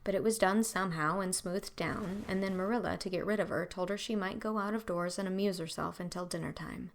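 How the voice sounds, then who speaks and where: A woman's voice: medium pitch, fairly even intonation, fairly quick. A woman reading scripted audiobook narration of a story.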